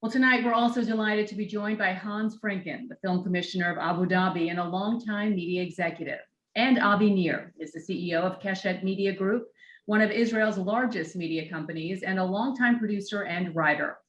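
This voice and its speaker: A woman's voice: mid-range voice, fairly quick, a bit bright. Lady host introducing panelists, echoey dull sound like a video call. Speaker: event host and moderator. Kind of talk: moderating a panel.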